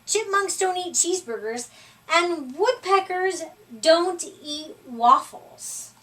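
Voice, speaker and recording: A bossy storybook critter acted out by a woman scoffs in a high, swooping voice. The words are slow and emphatic, like a scolding, and sound a little distant from the microphone. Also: animated, fairly bright tone, exaggerated voice. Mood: mocking, insistent. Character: scoffing, bossy storybook bunny and animal friends.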